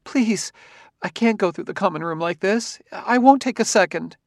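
A man performs a distraught wife in an audiobook, pitched far above his natural voice. She is tearful, fearful and guilt-ridden, pleading breathlessly, in a dry recording. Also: moderate pace, no room sound. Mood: pleading, fretful. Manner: high, breathless, emotional pleading. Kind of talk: audiobook character acting.

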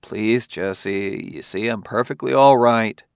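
A man voicing a terse, uneasy male detective in an audiobook, curt and low-key, sometimes mumbling. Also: measured pace, gravelly, clean tone, dry recording, studio quality. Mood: uneasy reassurance. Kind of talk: audiobook character voice.

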